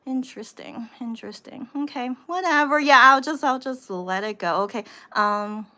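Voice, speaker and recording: An American woman hosting a casual livestream, rambling to viewers and repeating herself with animated emphasis, sounding somewhat tired. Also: a little high, normal speed. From United States. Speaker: livestream host, vlogger.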